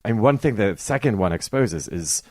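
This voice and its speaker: A man with a deep voice discusses books as a podcast guest. He is opinionated and emphatic but conversational, captured close with a very dry sound. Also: expressive, moderate pace, darkish tone, no echo. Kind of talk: conversational book criticism.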